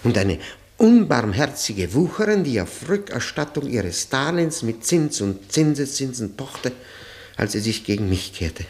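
An actor playing a tormented, bitter man confessing his ruined marriage in a brooding, halting monologue, in the style of 1960 radio drama. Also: mid-range voice, big pitch swings, darkish tone. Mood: agitated, accusing.

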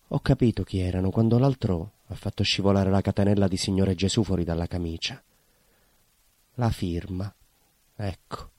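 A male actor with a southern Italian accent playing a troubled, proud working-class baker in a confessional theatre monologue, halting and repetitive in his telling. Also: deep voice, dark tone, dry recording. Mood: ashamed, fearful. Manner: halting, repetitive, colloquial confiding storytelling. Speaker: stage actor performing a monologue.

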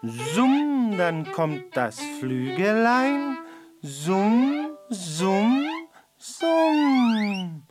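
Excitable little beetle, very animated. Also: female voice, mid-range voice, extremely slow, over music. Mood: chanting, instructing. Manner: flustered, stammering at times, exclamatory. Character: excitable, courteous little cockchafer with a missing leg, fiddler. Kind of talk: children's radio play acting.

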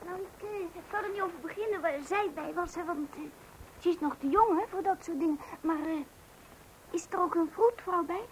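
A woman's voice: extremely high pitch, fairly quick, low-quality recording. A female performer in a radio play voicing an inquisitive, persistent young child with earnest, insistent questions, on a hissy old recording. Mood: earnest, confiding.

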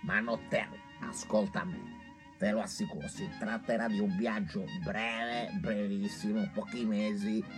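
An actor playing a cynical, self-satisfied young doctor with a Milanese accent, wheedling and slangy in a radio comedy. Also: male voice, on the low side, level tone, measured pace, over music, natural voice. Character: pleading, cynical, foppish young northern doctor. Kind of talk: theatrical radio comedy acting.

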